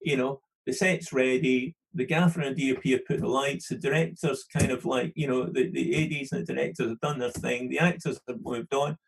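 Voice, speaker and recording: A middle-aged man with a Scottish accent talks in a gravelly, husky voice. He rambles in casual conversation, stacking "you know" and restarting his sentences as he goes. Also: medium pitch, fairly even intonation, fairly quick, hardly any pauses, poor audio. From London. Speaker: film studio manager, podcast guest. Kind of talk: casual podcast interview.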